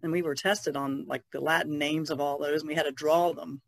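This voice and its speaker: A woman artist talking casually as a podcast guest, in halting, loosely strung phrases. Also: low voice, fairly even intonation, vocal fry. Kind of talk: casual conversational recollection.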